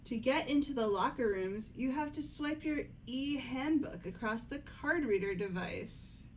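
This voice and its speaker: A woman playing a composed, doubting young aristocratic girl with measured delivery in an amateur game dub. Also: high voice, lively intonation, slight background noise, rough recording.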